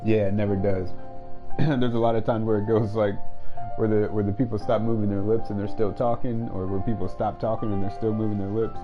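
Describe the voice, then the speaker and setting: A man's voice: on the low side, flat delivery, brisk. A man doing casual live gaming commentary as a streamer, talking in a laid-back, slangy way. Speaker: game livestreamer. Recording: dull lo-fi mic, game music behind.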